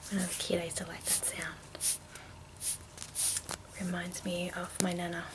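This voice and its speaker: A woman whispering in a breathy, hushed, unhurried way with halting pauses, presenting an ASMR show-and-tell. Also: on the low side, completely flat delivery, background noise. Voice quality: breathy whisper with creaky edges. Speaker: ASMR video host.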